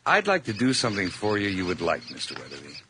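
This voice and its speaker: An actor playing an obsessive hired man who calmly plots a murder in a radio drama, speaking in intense, measured tones that drop to whispers. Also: low-pitched, a little hiss or hum, full character voice. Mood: eerily polite. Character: obsessive young hired man, calm but unhinged narrator. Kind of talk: 1980s radio drama acting. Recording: vintage radio broadcast with faint music.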